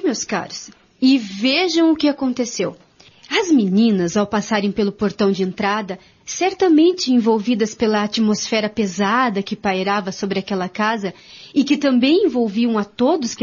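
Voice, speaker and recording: A Brazilian woman narrating a scripted radio drama in a husky voice. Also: fairly high pitch, darkish tone. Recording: dull lo-fi radio recording.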